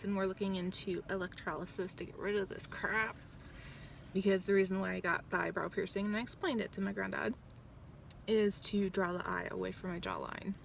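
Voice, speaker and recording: A woman's voice: mid-range voice, vocal fry, a bit bright, slight background noise. A woman in her thirties chatting casually in a vlog, blunt and swearing freely, with lots of ums, recorded outside a doctor's office. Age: 30s. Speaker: vlogger. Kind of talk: casual rambling vlog chat.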